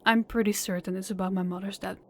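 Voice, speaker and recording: A woman's voice: mid-range voice, steady tone, fast, slight background noise, lightly acted. A woman voicing a nervous, polite young woman who stammers a little in an audio roleplay. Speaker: voice actor, channel host.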